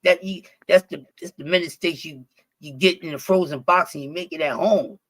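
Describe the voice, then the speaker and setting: A man's voice: a little high, moderate pace, fairly bright tone, husky. Rambling, cussing Philly man. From Philadelphia. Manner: profane, rambling, with many restarts. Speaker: stream guest. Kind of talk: casual chat.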